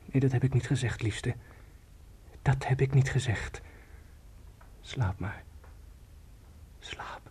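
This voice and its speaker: A man's voice: mid-range voice, slow, pauses often, whispered, lightly acted. A man playing an aging, dreamy, half-mad guitarist and storyteller in a radio drama, with a poetic, murmuring delivery. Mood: hushed, evasive. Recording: loud guitar music behind.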